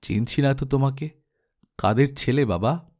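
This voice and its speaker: A man reading the part of a kindly male physician who gently questions a stray boy in an audiobook story. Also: mid-range voice, normal speed, natural voice. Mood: curious.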